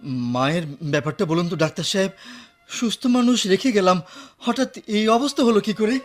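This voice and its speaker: A man's voice: high-pitched, expressive, normal speed. A man playing a devoted, distraught adult son in a radio drama, pleading and repeating himself urgently. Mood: anxious, grateful. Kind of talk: Bengali radio drama acting.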